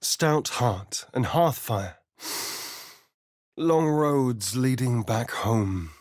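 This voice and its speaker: An actor playing a sardonic, vain vampire spawn rogue in a video game, with a theatrical, drawling British delivery. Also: male voice, mid-range voice, drawn-out words, dry recording, clean studio sound. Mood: arch, amused. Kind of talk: video game character voice lines.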